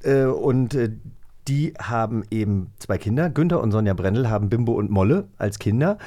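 Man with very animated, swooping intonation. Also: lowish voice, normal speed. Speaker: podcast co-host. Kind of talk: casual chat.

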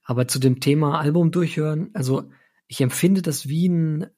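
A man talks casually about music as a podcast co-host. His voice is on the higher side and rather husky. He is measured and conciliatory. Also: warm tone.

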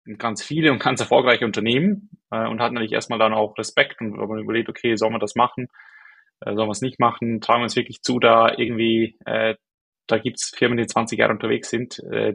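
A man with a Swiss German accent answers a host's questions, thinking aloud as he works through his answers. His voice is husky and slightly breathy. His pitch sits in the middle of the range. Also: fairly bright tone. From Switzerland. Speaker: guest, startup co-founder and CEO. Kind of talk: casual interview answers.

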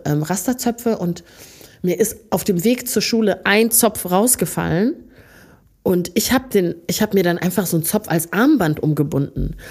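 Brief: woman from Rwanda, podcast co-host, relaxed storytelling, low-ish voice. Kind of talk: relaxed bedtime chat.

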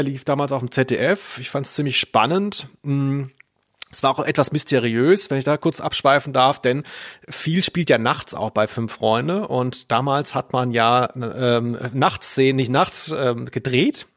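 A man's voice: mid-range voice, moderate pace, dry recording. Man chatting casually, close and dry. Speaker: podcast host. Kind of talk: casual podcast chat about children's audio dramas.

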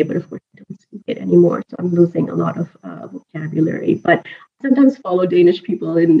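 A woman from Pakistan in her thirties, a native Urdu speaker, telling personal stories in an animated, chatty way as an interview guest. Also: lowish voice, vocal fry. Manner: animated, chatty, laughs often. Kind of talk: casual personal storytelling in conversation. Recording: dull lo-fi audio.

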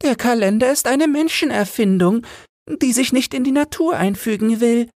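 A tiny storybook sparrow played by a man, pitched far up in a chirping register. The little bird is cheeky and full of opinions, speaking with self-assured pluck, in a dry, close recording. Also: moderate pace, a little mellow, no echo. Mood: smug. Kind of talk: character voice in a fairy tale audiobook.

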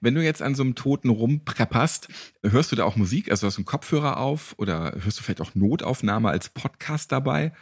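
A man's voice: medium pitch, lively intonation, brisk, slightly rough. A man hosting a casual interview podcast with a jokey, irreverent way of talking. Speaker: podcast host. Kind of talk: casual joking interview and sign-off.

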